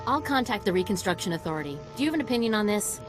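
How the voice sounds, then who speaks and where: A woman's voice: medium pitch, sing-song, racing, over music, close to an everyday voice. A woman playing a serious, skeptical scientist in a video game, earnest and pointed in her delivery. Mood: guarded, earnest.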